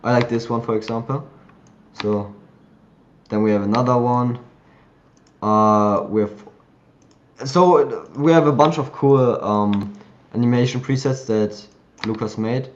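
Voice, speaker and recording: A man talking casually while he demos software over a live call, thinking aloud between clicks. His voice sits at an ordinary pitch. The sound is a little muffled and dull. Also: unhurried, long pauses, slight background noise. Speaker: host of a live community call. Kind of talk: casual screen-share tutorial.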